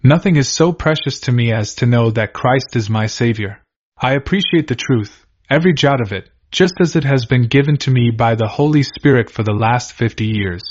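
A man reading old first-person diary entries aloud in a measured, steady way. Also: medium pitch. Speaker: reader. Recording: slightly dull lo-fi recording.

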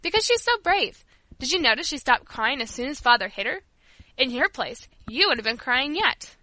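A woman's voice: high voice, sing-song, moderate pace, pushed and bright, no room sound, heavily acted. A woman voicing an opinionated, curious young boy in an audiobook, blunt and teasing in manner. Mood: admiring, cocky.

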